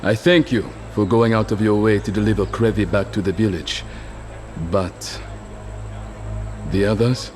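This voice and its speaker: An actor playing a wary but polite village man in a motion comic, speaking haltingly with awkward gratitude. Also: on the low side, fairly even intonation, darkish tone, faint music behind, natural voice. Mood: wary, grateful. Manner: halting, uneasy, courteous. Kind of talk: character acting in a motion comic.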